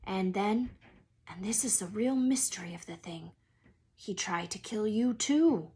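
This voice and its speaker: A woman voicing a huge, gruff but good-hearted wizard man in a storybook, emotional and anxious, with folksy, halting speech and dropped word endings. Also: mid-range voice, animated, warm tone, lightly acted. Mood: sorrowful.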